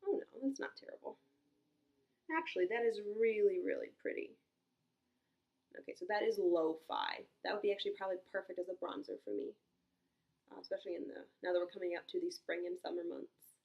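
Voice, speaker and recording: A woman's voice: mid-range voice, fairly quick, creaky, highs cut off. A young woman with a congested, stuffy voice, chatting casually as she swatches and reviews makeup, thinking aloud with many ums and small exclamations. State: congested from a cold. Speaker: beauty vlogger.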